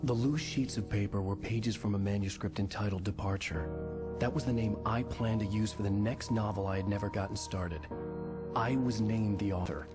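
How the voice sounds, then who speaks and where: A man's voice: on the low side, lightly acted. A man playing a troubled writer in a video game, brooding and desperate, with dramatic delivery. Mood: brooding, uneasy. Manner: brooding, measured narration, frantic when calling out. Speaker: protagonist and narrator. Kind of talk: video game dramatic acting and scripted noir narration. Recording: loud game music bed. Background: dramatic game music.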